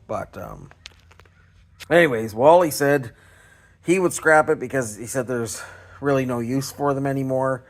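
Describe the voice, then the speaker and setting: A man casually showing and explaining things as a video host, in a halting, unsure way. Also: medium pitch. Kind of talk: casual show-and-tell explanation.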